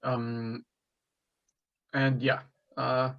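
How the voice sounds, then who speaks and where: Mexican-born man, 20s, job applicant: halting, filler-heavy answers, monotone, slightly muffled audio. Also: mid-range voice, creaky. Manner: hesitant, many fillers and restarts. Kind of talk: mock job interview answers.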